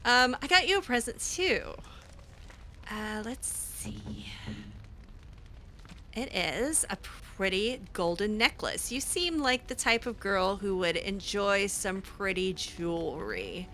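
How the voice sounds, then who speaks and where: Female gamer doing improvised roleplay: bright, crisp-edged tone, medium pitch, casual and halting conversational delivery. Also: lively intonation, a little hiss or hum. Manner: hesitant, informal, conversational. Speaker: gamer role-playing her own character. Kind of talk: improvised in-character chat over gameplay.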